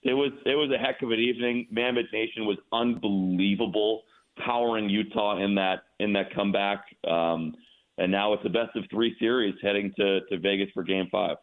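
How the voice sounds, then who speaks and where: Male sportscaster guest, lowish voice, halting and emphatic, thin phone-band audio. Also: phone-quality sound. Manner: halting, repeats words for emphasis. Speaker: radio play-by-play announcer, guest. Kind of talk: casual sports radio interview commentary.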